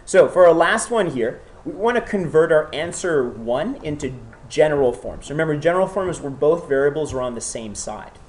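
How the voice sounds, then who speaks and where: A math teacher with a cold works through problems in front of a class at a middle pitch. The teacher is patient and encouraging, often checks that students follow, and asks them questions as they go. Faint noise sits under the voice. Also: expressive, fairly quick, few pauses, darkish tone. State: has a cold.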